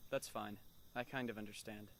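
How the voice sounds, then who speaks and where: A man's voice: fairly low pitch, fairly even intonation, moderate pace, slight background noise, close to an everyday voice. A man playing a wry, earnest teenage schoolboy time traveler in an anime fan dub, with a conversational delivery. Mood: apologetic, explaining.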